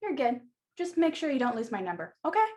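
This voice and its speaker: Woman as radio-play florist, higher voice, friendly, flirtatious, wry, casual. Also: big pitch swings, fast talker, a little mellow. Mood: lightly flirtatious.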